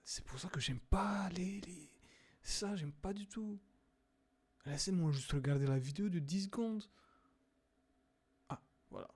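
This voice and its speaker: A young man casually reacting to videos, hesitant with many fillers, sometimes bursting into loud exclaiming. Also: high-pitched, a little slow, long pauses, husky. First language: not English.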